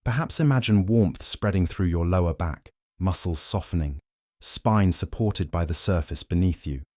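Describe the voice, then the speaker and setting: A man with a very low, mellow voice leads a guided sleep meditation. He speaks slowly and soothingly, every phrase measured. The sound is dry and close, with no room around it. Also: no echo.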